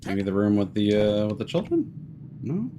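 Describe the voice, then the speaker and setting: Low-voiced man with lively pitch, streaming games. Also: normal speed. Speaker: game streamer. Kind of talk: casual live game commentary, thinking aloud. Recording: clearly audible background noise and clicks.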